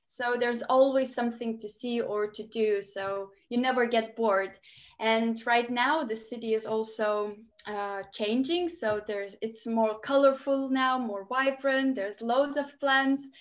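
A woman's voice: a little high, steady tone, measured pace. A woman in her twenties with a Lithuanian accent, speaking informally as a student panellist on a video call. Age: 20s. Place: indoor room at home, video call.

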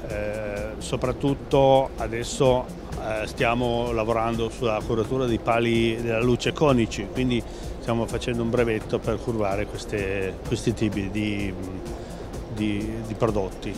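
A man's voice: on the low side, flat delivery, unhurried. A middle-aged man being interviewed at an exhibition stand, talking with many fillers and restarts. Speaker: company president interviewed. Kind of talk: trade fair interview. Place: busy exhibition hall stand. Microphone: handheld interviewer mic. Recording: background music under voice.

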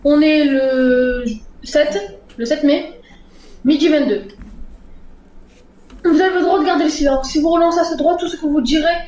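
A woman's voice: high-pitched, steady tone, moderate pace, long pauses, darkish tone, muffled recording. A woman in casual online role-play as a police officer. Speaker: player acting as police officer. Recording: echoey, roomy sound.

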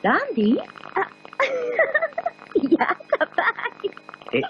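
A woman's voice: sits high, wildly swooping pitch, slow pace, full character voice. An actress playing a playful, teasing young woman in radio drama dialogue, light and familiar in manner. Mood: cheerful, amused. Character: breezy, teasing young woman, the thief's friend. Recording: dull old radio, heavy noise.

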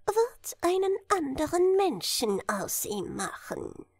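Woman playing a slow, fluting falsetto royal chancellor. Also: very animated, dry recording, clean studio sound, full character voice. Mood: sweetly persuasive. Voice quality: thin high falsetto. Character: high-voiced old royal chancellor, male, flattering and scheming.

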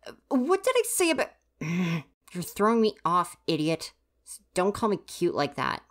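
Low-voiced, huffy tsundere student stagehand, acted by a woman. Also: wildly swooping pitch, unhurried. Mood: exasperated, flustered. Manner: huffy, exasperated, sighing scolding. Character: grumpy flustered tsundere student stagehand, university age. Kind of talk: ASMR roleplay, acted directly to the listener.

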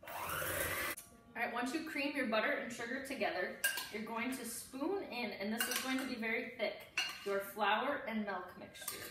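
A woman's voice: a little high, measured pace. A woman in her thirties giving casual step-by-step cooking instructions as a home cook in her kitchen. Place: home kitchen, indoors, dish clatter behind. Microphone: camera a few steps away. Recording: roomy, distant camera mic with clear noise.

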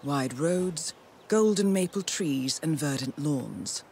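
A woman playing a composed intelligence handler in a video game, giving measured, formal and precise mission briefings. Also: deep voice, expressive, slight background noise, full character voice. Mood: measured, poised. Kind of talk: scripted mission briefing narration. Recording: loud cinematic music behind. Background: loud music score.

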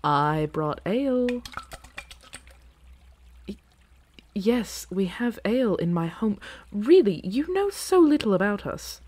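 A woman playing an earnest, playful dragon in human form in a fantasy ASMR roleplay, with a casual, teasing, halting delivery. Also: medium pitch, big pitch swings, darkish tone, dry recording. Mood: pleading.

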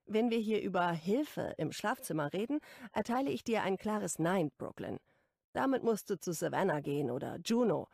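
A woman reads the part of an easygoing young man in a romance audiobook. He talks bluntly and casually, with a teasing brotherly streak. The sound is dry and close, with a gravelly edge. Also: medium pitch, big pitch swings, normal speed, darkish tone, no echo. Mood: casual, probing.

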